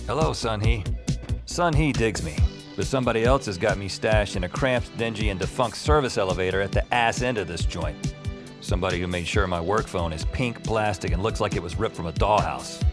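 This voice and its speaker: A low-pitched, sardonic man played by an actor who works emergency night shifts and believes in the paranormal. He narrates and talks in a dry deadpan, like a jaded audio drama hero, with music clearly behind him. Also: a little fast, natural voice. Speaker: lead character and narrator. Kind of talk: audio drama acting with first-person narration.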